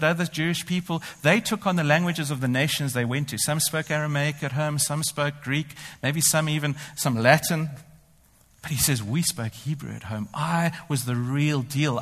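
A man preaching with an animated, ironic delivery that switches into first-person boasting. His voice sits a little high for a man. The sound carries a long hall reverb, as if from a church. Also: moderate pace. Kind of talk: sermon.

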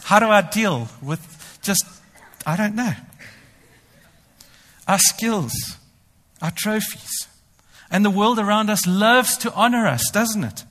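A man's voice: sits high, expressive, slow, pauses often, fairly bright tone. A man preaching a sermon. He talks animatedly, often speaking in the first person as the apostle and boasting ironically. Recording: long hall reverb.

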